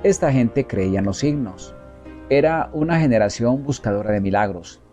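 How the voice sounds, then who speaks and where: Male reader, mellow dark tone, measured and solemn delivery, faint music underneath. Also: medium pitch, lively intonation, lo-fi sound. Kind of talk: measured scripted book reading.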